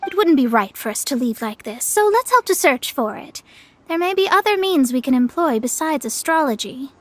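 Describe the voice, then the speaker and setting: A woman's voice: high-pitched, expressive, moderate pace. A woman playing a proud, formal young astrologer with theatrical delivery in a video game. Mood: feigned nonchalance. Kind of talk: video game character acting. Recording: game dialogue with music behind.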